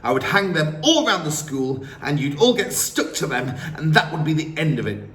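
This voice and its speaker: A man voicing a huge, cruel, furious headmistress, with booming, scornful ranting. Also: very animated, moderate pace, fairly bright tone. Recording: roomy, faint ambience.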